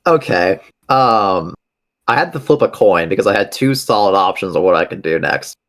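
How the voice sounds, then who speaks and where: A man with a deep-pitched voice takes part in a tabletop game. He explains rules casually, pausing and hesitating as he thinks out loud. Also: animated.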